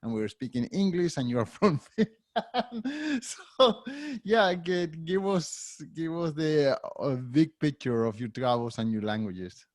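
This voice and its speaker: A man's voice: on the high side, lively intonation, takes it slowly, a little mellow. A man hosting an interview, asking brief, direct questions. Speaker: podcast host.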